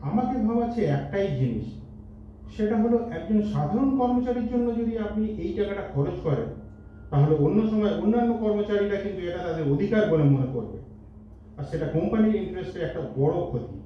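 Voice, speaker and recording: A man acting a pragmatic senior company adviser in a staged audio drama, deliberate and deferential. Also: sits high, lively intonation. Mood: cautioning. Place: multipurpose hall stage. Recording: echoey hall, distant mic, noisy, dull.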